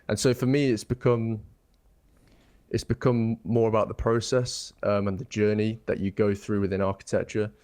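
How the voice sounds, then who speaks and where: Young man, low voice, rambling. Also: level tone, normal speed, darkish tone, smooth. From England. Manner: thoughtful, rambling, many ums and restarts. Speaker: guest architect. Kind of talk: casual interview chat.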